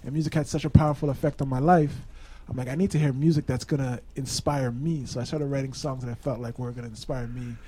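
A soft-spoken man in his thirties, a musician guest, talking with hesitant restarts in a casual interview. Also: mid-range voice, fairly even intonation, fast talker, hardly any pauses, slight background noise.

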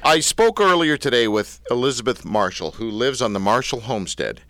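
A man's voice: mid-range voice, lively intonation, moderate pace, a bit bright, no echo. A man hosting a casual interview show, joking and teasing his guest. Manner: jokey, teasing banter. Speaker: radio show host.

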